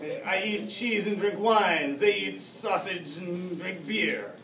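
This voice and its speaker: A man's voice: sits high, takes it slowly, a bit bright, full character voice. A teacher putting on a snooty Frenchman. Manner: mock foreign accent, sniffy and haughty. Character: snooty adult Frenchman, haughty and proud. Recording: echoey classroom, mic far off.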